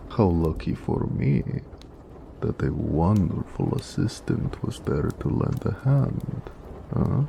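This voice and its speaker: A very deep, heavily gravelled orc blacksmith acted by a man, speaking. He is a gentle, doting lover who teases affectionately in a very slow, unhurried drawl, as in an intimate romantic audio roleplay. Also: sing-song, slight background noise, full character voice. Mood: warm, contented. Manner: affectionate, playfully sarcastic, unhurried.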